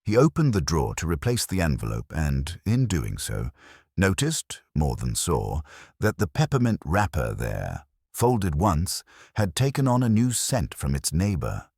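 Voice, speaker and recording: A man reading a cosy literary mystery as a scripted audiobook narration, in a measured, dryly wry and understated manner. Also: low-pitched, wildly swooping pitch, vocal fry, darkish tone, slightly rough, no room sound. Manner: dry, measured, wryly understated.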